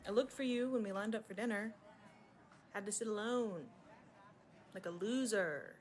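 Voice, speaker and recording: A woman's voice: mid-range voice, normal speed, slight background noise, close to an everyday voice. Casual, inquisitive actress as a young female patient. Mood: mildly peeved. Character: blunt, curious young female fellow patient. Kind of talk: modern radio drama acting.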